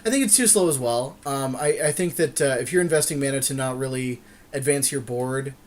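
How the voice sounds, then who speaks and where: A man's voice: mid-range voice, brisk. A man co-hosting a podcast, giving casual, agreeable and conversational commentary on a card game draft. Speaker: podcast co-host.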